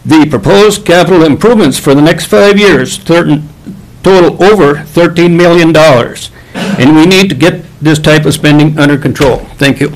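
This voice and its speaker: A man delivering a prepared public comment in a measured, formal manner. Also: on the high side, poor audio. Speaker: public commenter at a county meeting.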